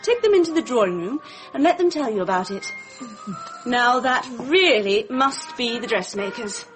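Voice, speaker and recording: A woman's voice: on the high side, expressive, moderate pace, soft background music, close to an everyday voice, low-quality recording. An actress playing a flighty, theatrical young society woman with an English accent in a radio drama. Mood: breezy, impatient.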